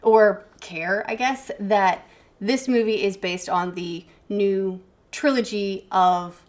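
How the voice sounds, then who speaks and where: A woman hosts a casual film review discussion. She has a mid-pitched voice and speaks in a measured, informative manner, qualifying her points as she goes. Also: steady tone. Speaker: film review panel host.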